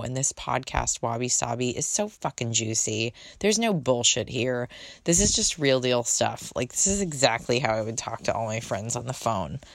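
A woman's voice: very deep voice, dry recording, studio quality. A woman hosting her own podcast in a chatty, unfiltered way, with a soothing voice and casual swearing. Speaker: podcast host. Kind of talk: casual solo podcast chat.

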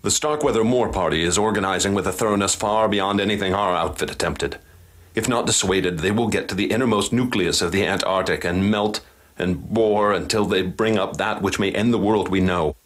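An actor playing a grave professor who tells of what he saw, deliberate and husky. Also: deep voice. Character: grave older male professor recalling horrors. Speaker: interviewee and narrator.

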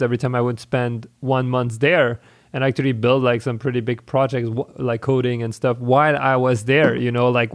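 A man hosting a casual interview, friendly and rambling, often restarting his words. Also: medium pitch. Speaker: podcast host.

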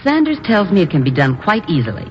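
A woman's voice: fairly low pitch, very animated, fast talker. Cool, ruthless lady smuggling boss. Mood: smug. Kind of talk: 1950s radio drama acting. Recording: dull, noisy vintage radio recording.

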